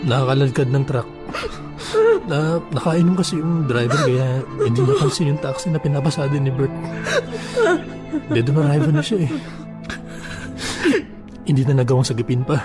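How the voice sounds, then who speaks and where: An actor playing a somber, caring older brother-in-law in a Tagalog radio drama, consoling and measured. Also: male voice, mid-range voice, over music, lightly acted. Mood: grave, resigned.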